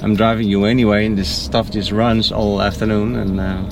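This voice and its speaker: A man's voice: fairly low pitch, little pitch movement, normal speed, vocal fry. A man driving a car, chatting in a friendly way and asking his passenger lots of questions. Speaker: rideshare driver and host. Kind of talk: casual chat. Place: inside a car. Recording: car recording with road noise. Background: car and air conditioning noise.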